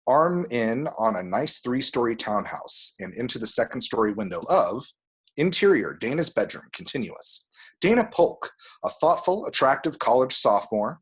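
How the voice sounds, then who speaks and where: A man's voice: medium pitch, big pitch swings, moderate pace, husky. A man reading scene descriptions as the narrator of an amateur screenplay table read. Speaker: stage direction reader.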